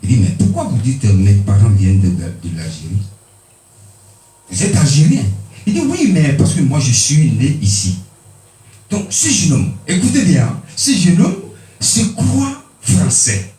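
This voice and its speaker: A man from Benin preaching a sermon, emphatic, with pitch swinging high and low for stress. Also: wildly swooping pitch, unhurried, mellow. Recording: echoey room, mic at a distance.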